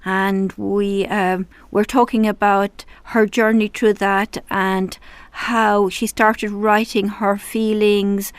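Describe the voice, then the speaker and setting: Gentle woman hosting a radio talk show. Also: mid-range voice, level tone, slow pace, hardly any pauses, smooth, dry recording. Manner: gentle, affirming, frequent soft murmurs of agreement. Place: radio studio.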